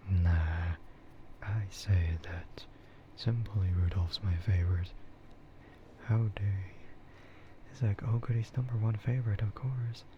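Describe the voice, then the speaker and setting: A man rambling casually and hesitantly in a soft, breathy ASMR whisper, as a streamer chatting close to the mic. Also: on the low side, muffled recording, slight background noise.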